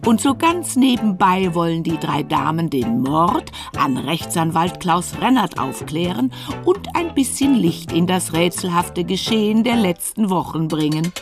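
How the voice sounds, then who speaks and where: An actress as the wry, measured female narrator of a radio crime serial, giving scripted narration. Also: fairly low pitch, very animated. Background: music.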